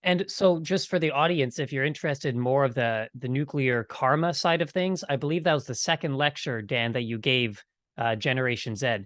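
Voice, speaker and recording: A man's voice: medium pitch, moderate pace, fairly bright tone, no room sound, studio quality. A man talking casually in a discussion, informal and peppering his speech with "like".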